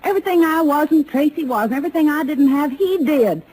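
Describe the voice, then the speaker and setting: High-voiced, rough-talking frontier tomboy. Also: female voice, expressive, quick pace, a little mellow. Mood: wistful. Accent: American. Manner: folksy, ungrammatical, combative cowgirl talk. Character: rough, tomboyish, hot-tempered young frontier gunslinger woman. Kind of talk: old-time radio western acting. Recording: noisy old radio drama recording.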